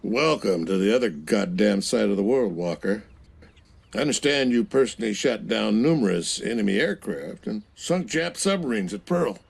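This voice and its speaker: An actor playing a stern older navy admiral giving clipped orders in a video game. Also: male voice, lowish voice, lively intonation, moderate pace, creaky, breathy edge, heavily acted. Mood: sardonic.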